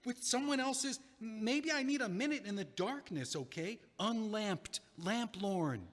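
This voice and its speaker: A man's voice: high-pitched, expressive, a little slow, a bit bright, exaggerated voice. A man playing a heartbroken, stubborn young guy in an audio play, earnest and exasperated, with a hesitant way of talking. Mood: exasperated, wounded. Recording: long echoing hallway reverb.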